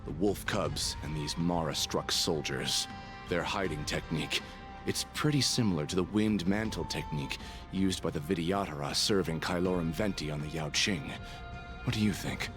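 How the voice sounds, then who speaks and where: A man performs a world-weary bodyguard from a video game, his voice sitting extremely deep. He talks bluntly and coldly, with deadpan gravity. Faint music plays underneath. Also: moderate pace, lightly acted. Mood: dry, weary. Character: cold, cynical, world-weary male assassin bodyguard. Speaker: character voice actor. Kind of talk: video game story dialogue. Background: background music.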